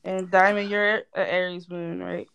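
A woman's voice: fairly low pitch, flat delivery, unhurried, vocal fry, fairly bright tone, smooth, lo-fi sound. A woman in her early twenties chatting casually and informally as a podcast panelist. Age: early 20s. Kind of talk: casual chat.

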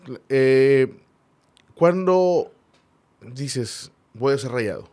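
A man's voice: medium pitch, lively intonation, drawn-out words, dark tone. A man with a northern Mexican accent hosting a football podcast in a conversational style.

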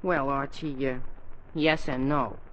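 An actor playing a slow, baffled teenage boy sidekick with a deadpan, hesitant delivery in 1940s radio comedy. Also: medium pitch, slight background noise, close to an everyday voice. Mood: sheepish, droll. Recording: dull 1940s radio recording.

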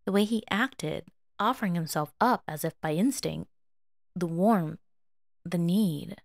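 A woman reading fiction aloud as a dramatic, expressive and emotive narrator. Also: fairly low pitch, measured pace, gravelly, dry recording, studio quality. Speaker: fan fiction audiobook reader.

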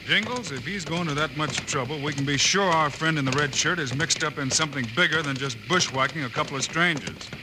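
An actor playing a calm, steadfast American frontier lawman, brisk and level-headed, in a Western radio drama. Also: fairly high pitch, close to an everyday voice. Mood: steady. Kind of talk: 1950s Western radio acting. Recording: faintly noisy old radio recording.

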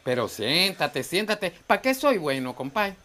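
A man acts out a jovial Venezuelan bar owner for a comic radio soap. His voice sits fairly high. He is bossy yet affectionate, full of folksy exclamations. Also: very animated, measured pace, bright, forceful tone, heavily acted. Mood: hearty, bossy. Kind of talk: humorous radio soap acting.

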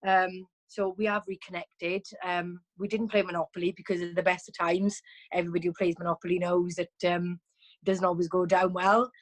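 A chatty woman with a fairly low voice, talking as a guest over a video call. She keeps going with many ums and restarts. Her tone is easy and conversational. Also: little pitch movement, brisk.

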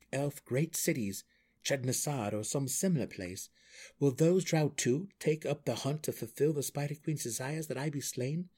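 A man performs a brooding dark elf exile from a fantasy storybook, pitched above a plain narrating voice. He speaks formally and earnestly, with resolute defiance. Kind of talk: fantasy audiobook character acting.

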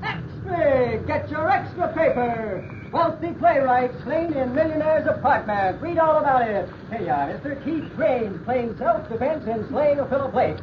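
A male actor in a 1940s radio drama playing a street newsboy with loud hawking cries. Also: very high-pitched, expressive, moderate pace, hardly any pauses. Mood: urgent, hawking. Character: shrill street newsboy hawking extra papers. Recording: muffled, very noisy 1940s radio recording.

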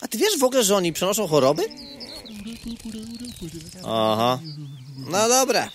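Casting: actor for a whiny, sarcastic mosquito guy, high-pitched and animated, comic audio play, slight background noise. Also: moderate pace. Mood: resigned, nostalgic. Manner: whiny, sarcastic, crude colloquial griping. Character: grumpy, sarcastic, defeatist male mosquito. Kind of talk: comic audio drama acting.

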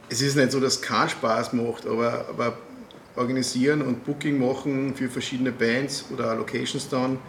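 A middle-aged man with an Austrian accent, interviewing a guest in a hesitant, measured way with many fillers. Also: mid-range voice, reverberant, slight background noise. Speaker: interviewer and music booker. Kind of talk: casual interview chat.

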